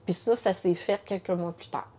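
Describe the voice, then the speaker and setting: A middle-aged woman with a Quebec French accent telling her story as a mother, halting and repeating words with many fillers. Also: lowish voice, steady tone, dark tone. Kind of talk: emotional personal testimony in conversation.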